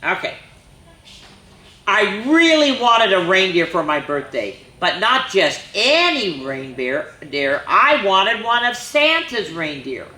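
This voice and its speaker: A woman's voice: medium pitch, sing-song, slow pace, bright, forceful tone, reverberant. A woman reading a rhyming picture book aloud to children, with chatty asides between pages. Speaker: children's storyteller.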